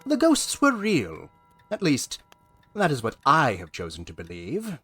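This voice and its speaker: A man's voice: on the high side, huge pitch swings, a little slow, pushed and bright, slightly rough, soft background music, full character voice. A man playing a pompous, easily exasperated storyteller in an audio drama, with old-fashioned declamatory phrasing. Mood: earnest, oratorical.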